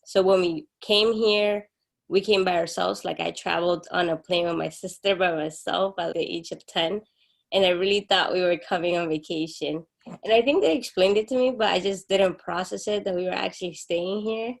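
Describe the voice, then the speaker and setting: A woman's voice: lowish voice, normal speed, gravelly. A young adult woman from Honduras, a guest in an interview, talking in an open, earnest way. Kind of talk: casual interview chat.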